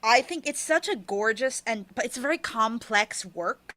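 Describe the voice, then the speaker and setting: A woman's voice: fairly high pitch, lively intonation, normal speed, sharp tone. A woman being interviewed as an author, chatting casually in an effusive, slangy, joking way. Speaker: interviewed author of an audio drama.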